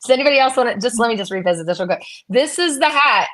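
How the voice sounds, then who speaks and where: A woman's voice: on the high side, expressive, very fast, a bit bright. A woman hosting a live Q&A in a casual, chatty and playful way, with a promotional streak. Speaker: host of a live real estate Q&A.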